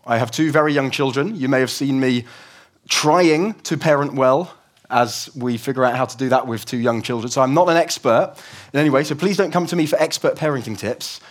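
A young man preaching a conversational, explanatory sermon in a church service, his voice croaky from a cold. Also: mid-range voice, fairly quick. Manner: conversational, explanatory, with frequent restarts.

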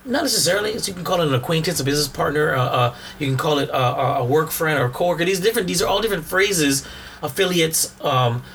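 Hoarse man, rambling podcast co-host. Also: a little high, expressive, fast talker, fairly bright tone, slight background noise. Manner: rambling, repeats words and restarts often. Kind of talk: casual chat.

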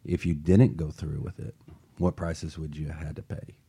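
A man's voice: very deep voice, level tone, moderate pace, gravelly, dark tone, slightly rough. A man hosting a motivational talk show and coaching, talking earnestly and building to passionate emphasis.

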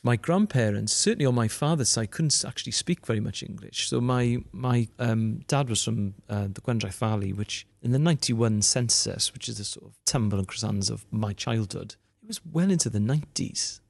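A man's voice: on the low side, animated, brisk, gravelly, hardly any pauses, no room sound. A Welsh man who grew up speaking Welsh, talking as a comedian guest in an interview, chatty with lots of restarts and asides. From Wales. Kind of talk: conversational interview answers.

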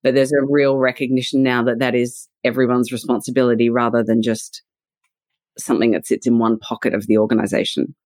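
A woman's voice: rumbling low, level tone, fairly quick, no echo. Australian woman, easy laughing interview talk. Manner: warm, laughs readily, thoughtful with "you know" fillers. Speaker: guest, leadership and culture consultant. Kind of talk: conversational podcast interview.

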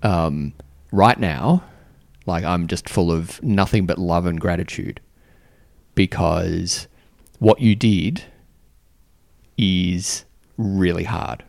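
A man hosting a podcast interview, speaking gently and haltingly with many fillers and restarts. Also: low-pitched, creaky, dry recording, clean studio sound. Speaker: podcast host. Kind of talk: casual interview. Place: Canberra.

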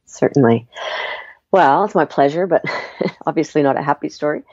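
Guest, woman from Canada, accent blended with Australian, chatty and lively, muffled dry call audio. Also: deep voice, moderate pace, husky, no room sound. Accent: Canadian with Australian tinge. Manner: chatty, lively storytelling with fillers. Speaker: interview guest. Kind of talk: casual conversational interview.

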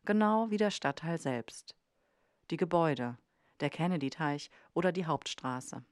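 A woman's voice: low voice, animated, a little slow, dry recording, studio quality. A woman host reading scripted documentary narration for a podcast. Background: faint music.

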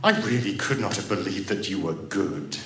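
A man's voice: medium pitch, huge pitch swings, slow pace, fairly bright tone, full character voice. A man voicing a taunting, scornful village girl in a reproachful, sing-song storybook manner. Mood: reproachful, haughty. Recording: roomy echo, audible background noise.

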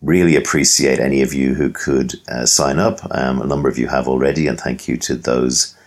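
A man from Ireland hosting a casual podcast interview, chatty with quick asides. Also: a bass voice, fairly even intonation. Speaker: podcast host.

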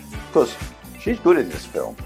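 Lively, chatty man presenting. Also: medium pitch, moderate pace, vocal fry, faint music behind. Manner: chatty, enthusiastic, playful asides. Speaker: host presenting a film-night special. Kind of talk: casual upbeat presenting.

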